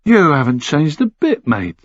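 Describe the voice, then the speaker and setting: A man's voice: a little high, wildly swooping pitch, measured pace, no echo, pitched above the performer's own voice, heavily acted. Man voicing easygoing, drawling American journalist, slightly muffled. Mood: delighted. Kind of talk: audiobook character dialogue.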